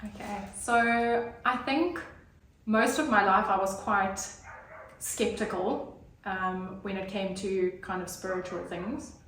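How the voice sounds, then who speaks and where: A woman's voice: medium pitch, takes it slowly, a bit bright, a little hiss or hum. A woman, telling her personal story as an interview guest in an earnest, hesitant way with many fillers. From Zimbabwe. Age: 30s. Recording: roomy, distant mic.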